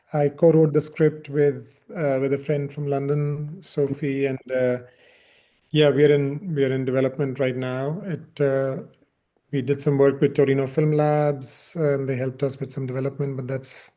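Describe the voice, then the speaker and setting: Halting, mellow-voiced man in interview. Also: medium pitch, little pitch movement. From India. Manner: halting, repeats words while searching for phrasing. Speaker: film director being interviewed. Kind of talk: reflective interview talk.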